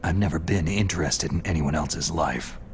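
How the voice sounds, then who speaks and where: Gruff game soldier, low-pitched, stoic, terse, detached, hard-boiled, faint background noise. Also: male voice, moderate pace. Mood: guarded, dismissive. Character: gruff, stoic lone male soldier, emotionally guarded. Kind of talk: video game cutscene acting.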